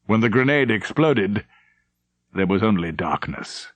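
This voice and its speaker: A man's voice: on the low side, animated, a little slow, no room sound. A man narrating a novel as a scripted, dramatic audiobook reading.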